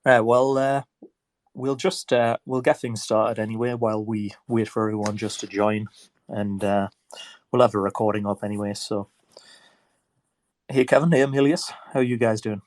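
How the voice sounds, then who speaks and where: A man with a stuffed-up voice from a cold, hosting a casual online chat in a relaxed, rambling way. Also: fairly low pitch, moderate pace, dry recording. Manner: relaxed, rambling, filler-heavy. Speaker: host.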